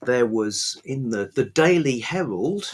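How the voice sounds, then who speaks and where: A man hosting a book vlog, chatting casually without a script in a rambling, halting way with pauses mid-phrase. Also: mid-range voice, lively intonation, breathy edge. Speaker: host of a book review vlog. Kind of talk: casual unscripted book chat.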